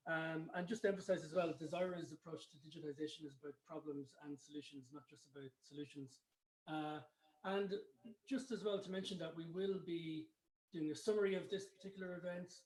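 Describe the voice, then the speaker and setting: A man's voice: a little high, darkish tone. A man co-hosting an online event, informally summing up. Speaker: webinar co-host. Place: studio for online streaming. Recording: roomy, echoing sound.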